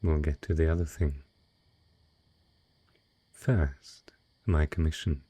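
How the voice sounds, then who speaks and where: A man playing a wealthy, possessive dragon in human guise, speaking in slow, formal, seductive phrases for an intimate romantic audio roleplay. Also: extremely low pitch, warm tone, breathy edge, no echo, exaggerated voice. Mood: businesslike, indulgent.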